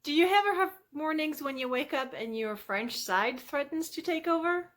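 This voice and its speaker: A woman's voice: sits high, lively intonation, normal speed, fairly bright tone. A woman hosting a fitness vlog, chatting casually and playfully to viewers with self-deprecating humour.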